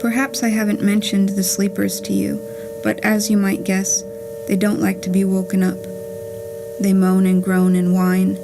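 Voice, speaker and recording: Woman reading as a wry, creaky-voiced letter writer. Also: medium pitch, flat delivery, moderate pace, noisy background, natural voice. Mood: wry, wistful. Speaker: writer and narrator reading her play. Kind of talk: scripted poetic monologue, letter read aloud. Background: music.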